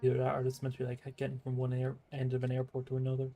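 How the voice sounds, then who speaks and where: Flat-toned man chatting over game music. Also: mid-range voice, rapid-fire, creaky. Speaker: gaming video commentator. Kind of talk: casual gameplay chat.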